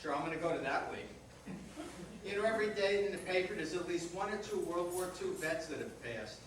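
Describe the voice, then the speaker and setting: A man's voice: sits high, expressive, brisk. A man playing a dry, grumbling older husband in a community reader's theater comedy. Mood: distracted, dry. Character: grumbling, distracted older husband reading the paper. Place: reader's theater performance in echoey venue. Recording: very echoey, distant, noisy hall recording.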